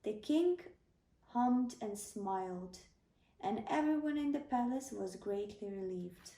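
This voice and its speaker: Woman reading a kids bedtime story, soft mellow tone, echoey room. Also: medium pitch, lively intonation, slow pace. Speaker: storybook narrator. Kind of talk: read-aloud children's bedtime story. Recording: roomy, slightly distant microphone.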